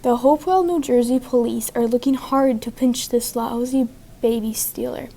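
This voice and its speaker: A woman with a soft-edged, mellow and fairly high voice delivers a scripted breaking news bulletin. She reads like an amateur reporter and stumbles slightly over words. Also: moderate pace. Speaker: breaking news reporter in a student history project.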